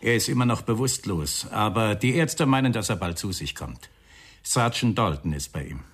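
An actor playing a composed, dogged police inspector in a radio crime drama, speaking politely in measured phrases. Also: male voice, lowish voice, animated, fairly bright tone. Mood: matter-of-fact. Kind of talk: 1990 German radio crime drama acting. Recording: radio play with clear background noise.

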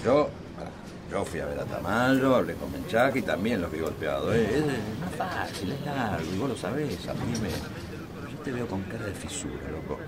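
A man's voice: lowish voice, sing-song, normal speed, over a noisy crowd. A man with a Rioplatense Argentine accent acting a streetwise, brotherly union delegate in a radio drama, slangy and insistent. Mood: concerned, insistent. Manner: repeats himself insistently, slangy.

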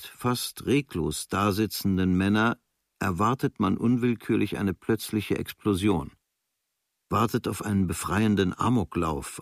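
A man with a low, creaky voice narrates a literary travel diary for radio. His delivery is measured, set out in short, clipped phrases, and the sound is close and dry, as in a studio. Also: no echo.

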